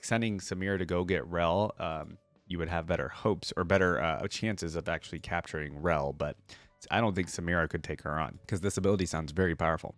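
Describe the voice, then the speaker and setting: A man's voice: on the low side, dry recording. A man with an informal, conversational manner, hosting a reaction video with casual commentary and lore read aloud. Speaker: YouTube reaction host.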